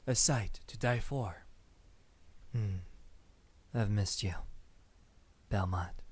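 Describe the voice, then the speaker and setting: A man voicing a possessive, tender, gentlemanly centuries-old vampire in an ASMR roleplay, speaking softly and intimately with sighs and pauses. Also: medium pitch, no echo.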